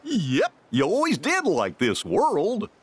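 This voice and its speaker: Cartoon game role: good-natured dog sidekick, slow, very swoopy delivery, flustered and earnest. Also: male voice, sits high, huge pitch swings, breathy edge, full character voice. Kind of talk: cartoon game cutscene acting.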